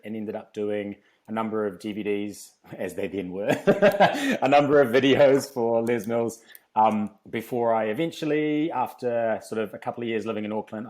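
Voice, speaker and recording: A man's voice: lowish voice, a little mellow. A man with a New Zealand accent, telling his story casually and chattily as an interview guest. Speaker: podcast guest, fitness company founder.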